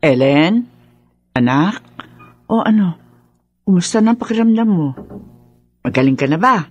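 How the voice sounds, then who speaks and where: A woman's voice: lowish voice, lively intonation, a little slow, dull audio, faint music behind. An actress playing an anxious, caring middle-aged mother, weary and fretful, in a Tagalog radio drama. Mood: concerned. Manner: solicitous, fretful.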